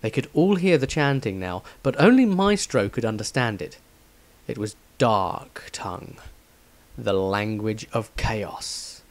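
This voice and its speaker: A man's voice: lowish voice, expressive, measured pace. A man reading a scripted fantasy adventure story as a dramatic narrator.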